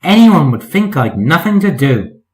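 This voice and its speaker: A man voicing a proud, peevish young tank engine in a children's storybook, grumbling and bossy, shrill when alarmed. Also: very animated, normal speed, a little mellow. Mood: grumpy.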